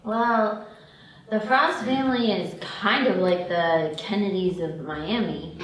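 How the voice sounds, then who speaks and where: A woman's voice: medium pitch, takes it slowly, close to an everyday voice. A woman playing a tipsy, laid-back, giggly young woman at a table read. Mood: tipsy, amused. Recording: very echoey room, distant mic.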